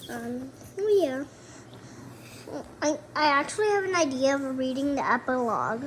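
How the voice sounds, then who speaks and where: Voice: sits high, lively intonation, normal speed, a bit bright. A child chatting casually to viewers as co-host of a homemade puppet show video. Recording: noisy homemade recording.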